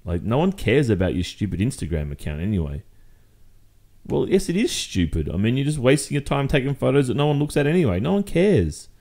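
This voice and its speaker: A man with an Australian accent acting a jealous, exasperated boyfriend confronting his partner in a conversational roleplay monologue, halting and insistent. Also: medium pitch, very animated, a little mellow. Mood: angry, scornful.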